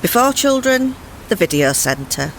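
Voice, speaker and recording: A woman's voice: fairly high pitch, sing-song, unhurried, a little hiss or hum, poor audio. A woman casually reminiscing about the past.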